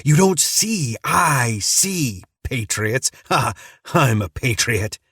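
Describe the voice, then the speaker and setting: A man's voice: mid-range voice, sing-song, slow pace, fairly bright tone, slightly rough, no echo, voice pushed up, full character voice. A man putting on a raised, wild-edged voice for a deranged preacher in an audiobook, manic and mocking, sliding from sing-song glee into threat. The delivery is dry and close, with nothing of the room around it. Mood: frantic. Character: unhinged male cult preacher, gleeful and menacing.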